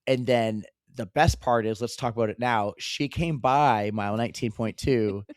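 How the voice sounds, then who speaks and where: Hoarse man co-hosting a podcast. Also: medium pitch, normal speed, no echo, clean studio sound. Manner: excitable, laughing, stumbling restarts. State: hoarse, losing his voice. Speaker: podcast co-host. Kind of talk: casual chat.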